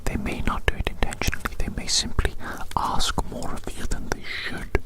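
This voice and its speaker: A person whispering softly in an ASMR roleplay, gently reassuring and slowly repeating phrases. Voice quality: soft unvoiced whisper. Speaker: ASMR roleplayer measuring the listener.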